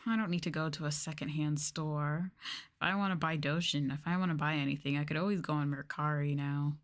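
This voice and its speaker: A woman streamer chatting casually in a soft-spoken ASMR style, rambling and swearing as she goes. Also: sits low, steady tone, brisk. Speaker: VTuber streamer.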